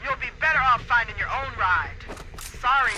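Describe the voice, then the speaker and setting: A woman's voice: a little high, expressive, normal speed. A woman playing a tough, cool-headed military dropship pilot in a video game, talking in clipped phrases through a radio filter. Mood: strained. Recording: noisy radio transmission with aircraft sound.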